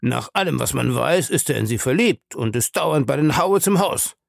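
A man voicing a shady, pushy male schemer in an audiobook, insistent, ironic and scornful, with a gravelly voice. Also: medium pitch, animated, moderate pace, no echo, studio quality, pitched above the performer's own voice. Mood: ironic, scheming. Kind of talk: audiobook character dialogue.

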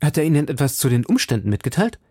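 A man's voice: on the high side, big pitch swings, darkish tone, slightly rough, dry recording, clean studio sound, voice pushed up. Man voicing a brash, eager young gallant. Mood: pressing. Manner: brisk questioning, exclamatory. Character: brash, inquisitive young gallant, proud and impulsive. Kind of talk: audiobook character dialogue.

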